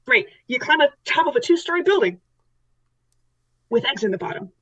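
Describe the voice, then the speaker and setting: A husky female island survivor, played by a woman at a game table rambles insistently through her stories, circling back and repeating herself. Her voice sits fairly high, and the sound is a little dull and lo-fi. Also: animated, fairly quick, a bit bright, heavily acted. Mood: rambling, insistent. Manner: repetitive, rambling storyteller. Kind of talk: in-character tabletop roleplay.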